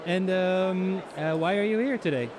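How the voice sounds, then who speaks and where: A man's voice: high voice, normal speed, noisy background. A man interviewing people at a busy fair, asking short friendly questions with some ums. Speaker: street interviewer. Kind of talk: casual street interview.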